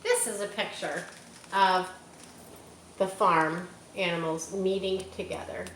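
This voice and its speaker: A woman's voice: fairly low pitch, steady tone, slow pace, a bit bright, roomy sound, slight background noise, rough recording. A woman reading a children's book aloud in a friendly way, adding asides to explain things for young listeners. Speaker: storyteller.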